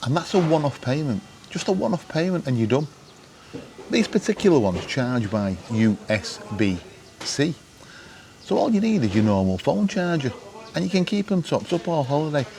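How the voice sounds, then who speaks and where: A man's voice: a little high, very animated, normal speed, a little mellow, a little hiss or hum. A middle-aged British man presenting to camera in an informal, wry, chatty way, often putting questions to the viewer. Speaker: vlogger presenting gadget advice.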